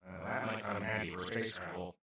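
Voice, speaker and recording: A man playing a kind, awkward alien in a comedic audio roleplay, speaking to the listener in a gentle, earnest, apologetic and slightly formal, rambling way. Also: lowish voice, fairly even intonation, fairly quick. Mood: curious, rambling. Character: kind, awkward, well-meaning male alien abductor. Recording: roomy, echoing, distant-sounding voice.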